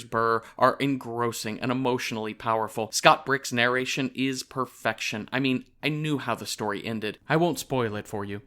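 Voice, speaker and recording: A man's voice: medium pitch, sharp tone. A man hosting a podcast, reading a scripted sponsor message in a casual, wry, conversational way.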